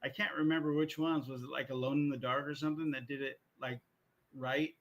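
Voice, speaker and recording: A man's voice: mid-range voice, flat delivery, brisk, muffled recording. Man chatting casually as podcast co-host. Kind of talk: casual chat.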